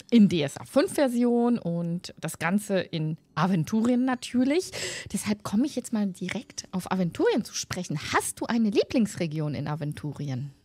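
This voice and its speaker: A woman's voice: mid-range voice, darkish tone, no room sound. A middle-aged woman hosting a casual interview over a video call, speaking close to a desk microphone. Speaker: interviewer, host. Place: home room, indoors, on a video call. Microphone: desk microphone close to the mouth. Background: faint music.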